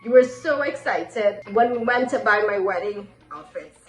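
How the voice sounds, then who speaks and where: A woman's voice: mid-range voice, expressive, moderate pace. A woman giving a personal farewell tribute to a family member. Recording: echoey room, faint music behind.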